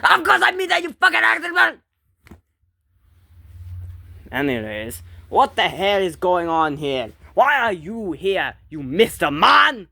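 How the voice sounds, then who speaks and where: A loud, bossy cartoon bully, put on by an amateur performer for a comic skit. The voice is high and bright with a creaky edge, swooping around as it scolds and yells. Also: sing-song, slow, few pauses, dry recording, exaggerated voice. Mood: indignant. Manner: brash, scolding, given to yelling.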